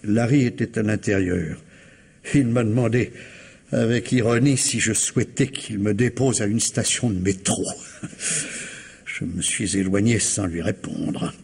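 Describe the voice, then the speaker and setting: A fastidious retired civil servant in his sixties, with a husky, lowish voice. He speaks with formal, hesitant courtesy, careful and reserved, in radio mystery style. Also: darkish tone, heavily acted. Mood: wistful, bitter. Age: older. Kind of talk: French radio mystery play acting.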